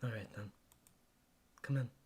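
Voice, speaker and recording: A man's voice: fairly low pitch, measured pace, darkish tone, husky. A man voicing a guarded, curt young woman in a visual novel, with a dry, terse and reserved delivery. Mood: curt, testing. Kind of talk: acted visual novel readthrough.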